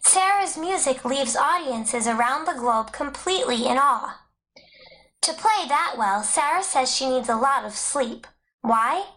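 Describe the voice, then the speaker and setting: Woman narrator w/ high pitched voice reading a school text out loud. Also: lively intonation, measured pace, rough recording. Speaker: audiobook narrator. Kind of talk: scripted educational narration, read aloud.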